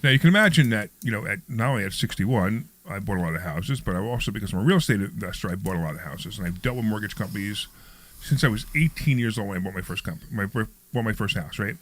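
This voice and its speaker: A man's voice: fairly low pitch, animated, fast, fairly bright tone. A man in his early sixties hosting a casual talk show, rambling and jokey as he repeats himself. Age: early 60s. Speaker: radio show host and real estate investor.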